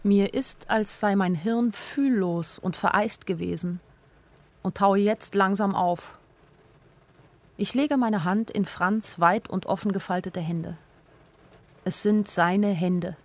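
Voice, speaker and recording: A woman's voice: medium pitch, slow. An actress playing an anxious young woman who narrates a radio play as an intimate inner monologue in short, plain sentences. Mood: grave, numb. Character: anxious young woman narrating her flight, inwardly urgent. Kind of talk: literary radio play narration in present tense.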